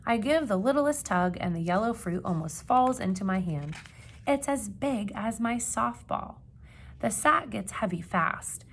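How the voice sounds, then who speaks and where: A woman reading a children's picture book aloud like a teacher, with expressive emphasis and questions put to young listeners. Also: medium pitch, slight background noise. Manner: expressive, dramatic gasps and emphasis, pausing to ask questions.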